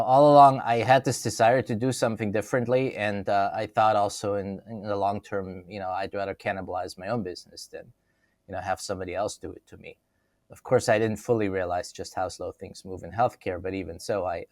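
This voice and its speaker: A man's voice: lowish voice, no room sound, clean studio sound. A middle-aged man from Austria, a company CEO talking through his career in a podcast interview, thoughtful, often restarting his phrases. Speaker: CEO guest. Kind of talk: conversational interview.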